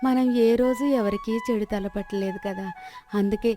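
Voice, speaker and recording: A woman as humble village cook, respectful, contented, mid-pitched, faint music behind. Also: fairly even intonation, moderate pace, warm tone, lightly acted. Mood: humble, grateful. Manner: modest, respectful. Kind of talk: Telugu moral story dubbing.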